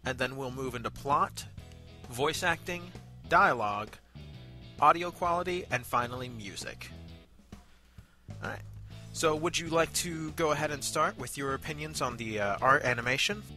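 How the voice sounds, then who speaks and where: Bright-voiced man co-hosting a review. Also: a little high, normal speed, pauses often. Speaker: review show co-host. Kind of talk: conversational review commentary. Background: background music.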